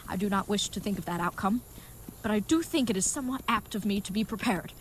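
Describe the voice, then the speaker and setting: Woman acting as earnest knightly leathersmith, good-hearted and dim, grandiose archaic phrasing, medium pitch, audio drama comedy, noisy background ambience. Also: fast, close to an everyday voice. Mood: fond, chatty.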